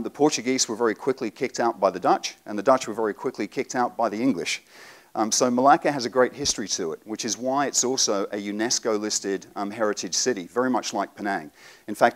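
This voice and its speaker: A man in his forties giving a live seminar talk to an audience in a conference room, relaxed and explanatory with frequent ums. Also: on the low side. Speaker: presenter.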